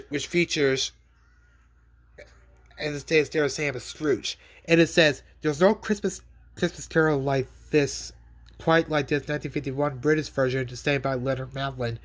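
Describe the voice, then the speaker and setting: A man's voice: fairly high pitch, a bit bright. Guy with a cold showing off dvds in his bedroom, phone mic, keeps stumbling and repeating himself. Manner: halting, repeats words and restarts often. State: has a cold. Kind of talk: casual unboxing vlog. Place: bedroom, indoors. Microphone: handheld phone pointed at bed.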